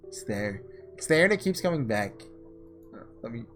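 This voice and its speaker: Male visual novel playthrough host, mid-pitched and lively in intonation, informal and musing, music underneath. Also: normal speed. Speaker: gaming video host. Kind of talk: casual playthrough commentary, reading visual novel text aloud.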